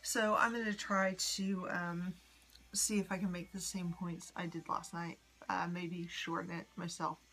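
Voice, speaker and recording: A woman in her forties from the United States, rambling in a personal vlog with many ums and soft whispery asides. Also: fairly low pitch, level tone, unhurried, slightly rough, poor audio. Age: 40s. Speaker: vlogger. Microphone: phone.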